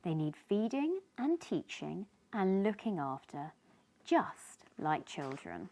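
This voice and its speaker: Woman voicing a calm, measured storybook mum. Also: fairly low pitch, full character voice. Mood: gently firm. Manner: measured, gently firm, explaining. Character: calm, sensible mother in a storybook.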